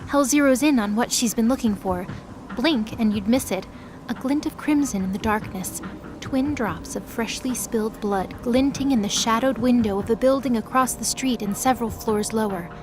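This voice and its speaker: Female narrator for dramatic myth fiction: higher-pitched voice, scripted storytelling delivery over a clear music bed. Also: rough recording.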